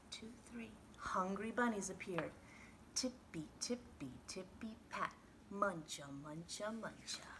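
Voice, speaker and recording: A woman reading a picture book aloud like a teacher to young children, expressive and dramatic, with hushed sound words. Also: mid-range voice, noisy background.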